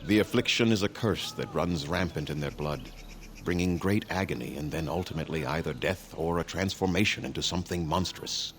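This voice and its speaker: A grave elven clan leader from a fantasy game, with a very low voice and formal, measured speech. He sounds weary and solemn, with clear outdoor ambient noise around him. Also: fairly bright tone. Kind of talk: scripted video game dialogue.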